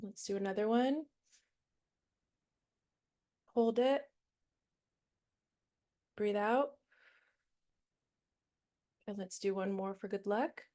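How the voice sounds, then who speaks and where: A woman's voice: medium pitch, slow pace, long pauses, dark tone. A woman from Mexico teaching her first online class over a video call, casual and chatty with fillers. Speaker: guest instructor.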